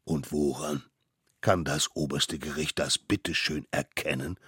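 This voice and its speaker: A man voicing three stern old mammoth judges who speak slowly and pompously. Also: a bass voice, fairly even intonation, fairly bright tone, husky, no echo, clean studio sound, exaggerated voice.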